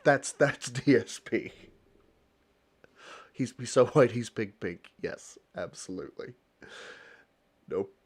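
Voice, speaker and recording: Male reaction host, animated swings in pitch, crude banter. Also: takes it slowly, long pauses, husky. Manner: profane, joking, cutting in over the clip. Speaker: livestream host. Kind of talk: casual reaction commentary.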